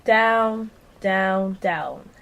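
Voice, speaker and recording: A woman's voice: medium pitch, very slow, creaky, fairly bright tone. A female amateur narrator reading scripted storybook narration for a radio play.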